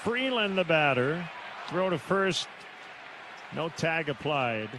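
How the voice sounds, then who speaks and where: An American man calling live baseball on the radio in short, clipped phrases with pauses. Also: a little high, sing-song, a crowd in the background. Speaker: baseball play-by-play announcer. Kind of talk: live radio play-by-play commentary. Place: stadium broadcast booth. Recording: noisy live ballpark broadcast.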